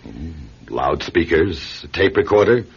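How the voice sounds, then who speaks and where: A deep-voiced, insistent radio-drama gentleman. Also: lively intonation, measured pace, vocal fry, slightly rough, exaggerated voice. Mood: determined. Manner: urgent, authoritative, coaxing. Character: protective, insistent gentleman lawyer and loyal friend. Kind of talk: classic radio drama acting. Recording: muffled vintage radio, music behind.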